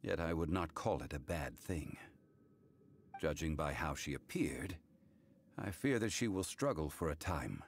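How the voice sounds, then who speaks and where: An actor playing a calm, solemn ancient man, a wise former god in a video game, who speaks in a formal, measured, reflective way. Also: rumbling low, a little hiss or hum, full character voice. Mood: calm, grave. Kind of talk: English game voice acting, story dialogue.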